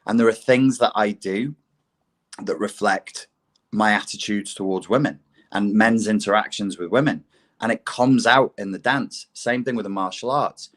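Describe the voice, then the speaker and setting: A man's voice: lowish voice, moderate pace, a bit bright. A man talking bluntly and swearing freely in a casual podcast chat, often mocking himself. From England.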